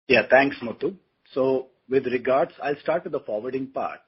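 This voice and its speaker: Very raspy male executive on a call. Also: medium pitch, normal speed. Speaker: company executive on an earnings call. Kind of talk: explanatory business talk on a conference call. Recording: dull, lo-fi conference call audio.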